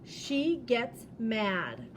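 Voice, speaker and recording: Female storybook reader, notably high voice, expressive and deliberate delivery, draws out key words, faint noise behind. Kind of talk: children's storybook read-aloud.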